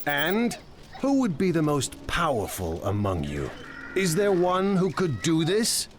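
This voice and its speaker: Smug, scheming crime lord. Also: male voice, fairly high pitch, wildly swooping pitch, a little slow, music in the background. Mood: sly confidence. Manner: sardonic, self-assured. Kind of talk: scripted video game acting.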